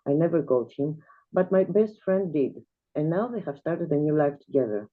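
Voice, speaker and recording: A woman from Greece, reading her own flash fiction aloud in a measured, quiet way as a guest reader. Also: low voice, expressive. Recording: tinny video-call audio.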